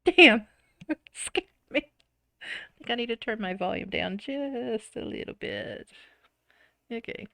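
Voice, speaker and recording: A woman's voice: mid-range voice, dry recording. A woman reading a visual novel aloud with dramatic expression and casual, giggly reactions.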